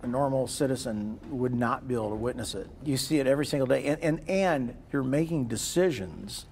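A man's voice: mid-range voice, fairly quick, a little mellow, slight background noise. A man in his sixties answering interview questions earnestly in a farm shed, often repeating words as he starts a thought. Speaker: interviewee, farmer philanthropist. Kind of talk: sit-down interview. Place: large farm machinery shed.

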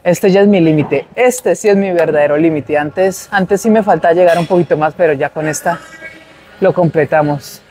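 A man's voice: a little high, normal speed, darkish tone. Upbeat young man vlogging at the gym. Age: young adult. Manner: upbeat, chatty, grunting between sets. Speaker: fitness vlogger. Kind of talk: casual vlog chat to camera. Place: large indoor gym, then a shopping mall walkway.

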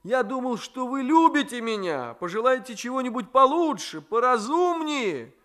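Man acting a stubborn, ironic gentleman, bright voice. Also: very high-pitched, sing-song, moderate pace, voice pushed up, full character voice. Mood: defiant. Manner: argumentative, teasing. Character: stubborn, ironic gentleman grandson standing his ground.

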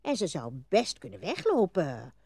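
A woman's voice: on the low side, huge pitch swings, normal speed, darkish tone, slightly rough, no echo, studio quality, exaggerated voice. A woman voicing an ugly, scheming mother frog in a storybook tale, fussing and gleeful as she plans for her son. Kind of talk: storybook audio dramatization.

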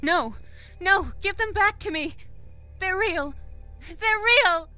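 An actress playing a distraught but defiant captive woman in an audio drama, halting and pleading with raw emotion. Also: very high voice, lively intonation, sharp tone, soft background music, exaggerated voice. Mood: anguished.

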